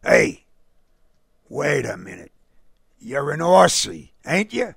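A man's voice: a little high, very animated, vocal fry, a bit bright, slightly rough, dry recording, clean studio sound, full character voice. A man voicing a blunt, suspicious working-class cab driver and baseball fan with a slangy Brooklyn accent, in a told story. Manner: blunt, slangy, quick-fire.

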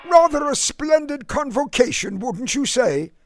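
A man's voice: extremely high pitch, sing-song, unhurried, dry recording, clean studio sound, voice pushed up, heavily acted. A man voicing a naive, delighted young upper-crust Englishman, speaking with a lofty, clipped upper-class British accent and very polite, wordy phrasing. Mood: chirpy, courteous.

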